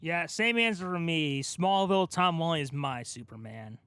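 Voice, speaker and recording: A man's voice: a little high, lively intonation, moderate pace, fairly bright tone, clean tone, no echo. A man co-hosting a casual pop-culture chat, asking questions and giving his opinions in an informal way. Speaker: podcast co-host asking questions.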